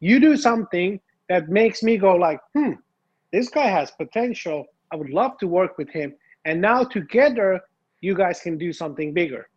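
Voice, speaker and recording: A man who was born in Iran and grew up in Finland talks through an interview in a high voice. He often restarts and repeats words. The audio sounds a little dull and lo-fi. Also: lively intonation, moderate pace, husky. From Iran, raised in Finland. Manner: repeats phrases, restarts, laughs easily. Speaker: guest, filmmaker and martial artist. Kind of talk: casual interview chat.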